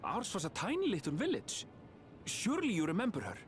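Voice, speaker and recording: A woman's voice: mid-range voice, huge pitch swings, fairly quick, a little hiss or hum. A woman voicing a young woman in a game cutscene who is anxiously searching for her lost mother and talks in an earnest, pleading way. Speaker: game character. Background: game music.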